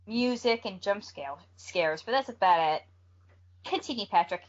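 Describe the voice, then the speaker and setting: A woman's voice: medium pitch, lively intonation, moderate pace. A woman co-hosting a podcast in a casual, conversational way. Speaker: podcast co-host. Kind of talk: casual chat. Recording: dull lo-fi podcast audio.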